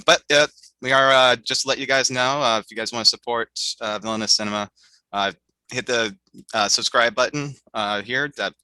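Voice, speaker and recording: A man's voice: mid-range voice, sharp tone, dry recording, low-quality recording. A man hosting a casual movie podcast, who rambles and fills his talk with many fillers. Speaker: podcast host. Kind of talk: casual chat.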